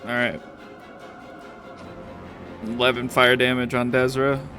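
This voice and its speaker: A man's voice: mid-range voice, level tone, takes it slowly, bright, forceful tone. A man acting as game master, narrating a tabletop roleplaying game in an informal, matter-of-fact way. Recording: music behind the voice. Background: music.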